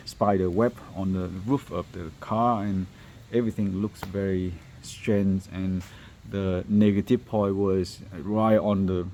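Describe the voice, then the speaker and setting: Deep-voiced man, halting non-native English, mellow. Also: lo-fi sound, slight background noise. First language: not English. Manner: halting, hesitant phrasing. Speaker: car installer presenting finished work. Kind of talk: informal workshop walkthrough video.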